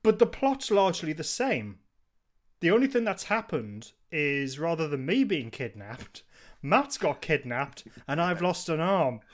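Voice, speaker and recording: Male podcast host with hugely swooping, animated delivery, jokey, mock-exasperated, casual banter. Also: on the high side, measured pace. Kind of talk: casual comic chat and read-through.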